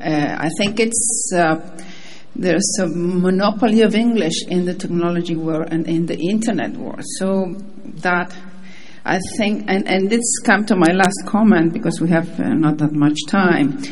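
A woman's voice: fairly low pitch, unhurried, a little mellow, slight background noise. A native Spanish-speaking woman with a Spanish accent, giving informal remarks as a panelist. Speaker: panelist, government delegate.

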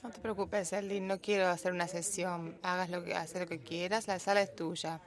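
A woman with a Rioplatense Spanish accent doing simultaneous interpretation, relaying speech in a steady, even way. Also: fairly low pitch, normal speed.

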